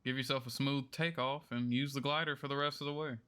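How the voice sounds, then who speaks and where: A man's voice: medium pitch, fairly even intonation, a little fast, pushed and bright, clear, resonant tone. A man talking casually and rambling a little as he livestreams a game. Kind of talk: casual gaming stream chat.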